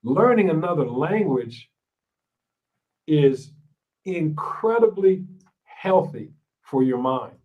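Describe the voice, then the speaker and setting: A man's voice: on the high side, expressive, slow pace, long pauses, recorded from a distance, narrow, tinny audio. A man from Ohio hosting an interview show, casual and gracious, often agreeing with his guest. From Ohio, USA. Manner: affirming, frequent agreement, gracious. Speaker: podcast host.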